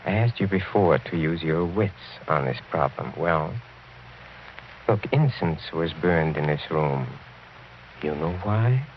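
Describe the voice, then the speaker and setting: Casting: suave older aristocrat, deep voice, quietly menacing, radio horror villain on a dull, noisy recording. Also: male voice, expressive, heavily acted. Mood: cunning, explanatory. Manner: suave, chuckling, deliberate menace with courteous hesitations. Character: sinister, courtly older count from a decadent noble family. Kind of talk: radio horror drama acting.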